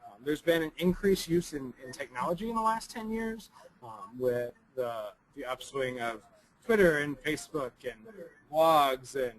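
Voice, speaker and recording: Young male presenter, slightly raspy from a cold, halting delivery full of ums and restarts. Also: a little high, poor audio. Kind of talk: recorded conference presentation.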